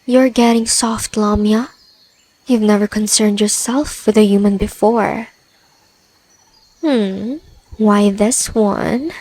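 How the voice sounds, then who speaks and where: A woman's voice: medium pitch, fairly even intonation, slow pace, pauses often, darkish tone, low-quality recording. A woman voicing a haughty, prickly spider-woman with a dry, scornful manner in an audio roleplay.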